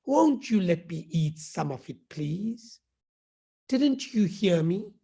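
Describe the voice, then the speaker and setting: A man's voice: high-pitched, sing-song, slow, dark tone, husky, heavily acted. A man doing a big, polite, hungry buffalo. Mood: pleading. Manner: polite, pleading.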